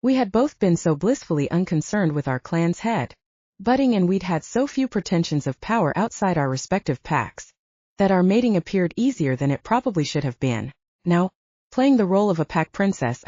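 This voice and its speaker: A woman narrates a story in a mellow voice that sits fairly low. Her intonation moves widely as she reads scripted first-person fiction. The recording is somewhat dull and muffled. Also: sing-song, normal speed, no room sound. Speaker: audiobook narrator.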